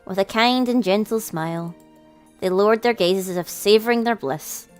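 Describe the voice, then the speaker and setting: A woman's voice: mid-range voice, normal speed, darkish tone. A woman hosting a let's play, reading a visual novel aloud with casual commentary. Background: game music.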